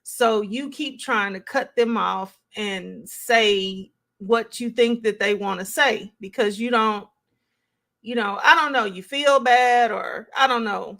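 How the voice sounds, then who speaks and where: Slow-paced woman musing on a live stream. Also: on the high side, creaky, fairly bright tone. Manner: conversational, musing, thinking aloud. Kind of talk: casual reaction commentary, reading and answering live chat comments.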